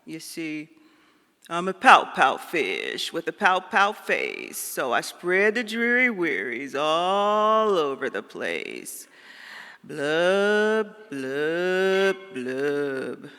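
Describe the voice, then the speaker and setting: A woman voicing a glum, gloomy male fish from a children's storybook, reading his rhymes in a slow, sing-song way. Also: deep voice, big pitch swings, a bit bright, clean tone, full character voice. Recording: long hall reverb.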